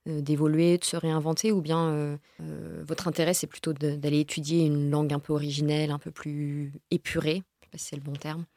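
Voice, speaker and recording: A woman's voice: low-pitched, little pitch movement, fairly quick, few pauses, warm tone, dry recording, clean studio sound. A woman interviewer asking long, carefully worded questions.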